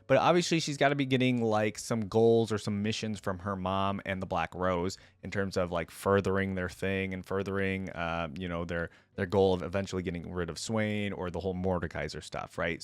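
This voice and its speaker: A man's voice: medium pitch, smooth, dry recording. Casual man rambling through fan commentary, dry sound. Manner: rambling, conversational, thinking aloud. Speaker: YouTube reaction host.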